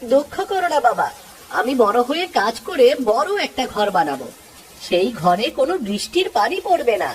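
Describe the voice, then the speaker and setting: A woman's voice: fairly high pitch, sing-song, moderate pace, far from the mic, a little hiss or hum, rough recording. A woman voicing an eager, boastful young village boy in a cartoon, with music underneath. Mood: earnest, consoling. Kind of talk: cartoon voice acting.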